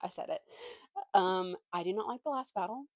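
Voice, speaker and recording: A woman's voice: mid-range voice, moderate pace, dry recording. A young woman chatting casually as a book vlogger, opinionated and slangy, poking fun at herself. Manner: animated slangy delivery, opinionated, self-deprecating.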